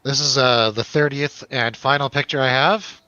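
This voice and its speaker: A man hosts a casual film review talk in a wry, rambling way. His voice sits at an ordinary male pitch. The recording is dry and a little dull. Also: steady tone, normal speed, sharp tone, clear, resonant tone, no room sound. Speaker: host of a film review show.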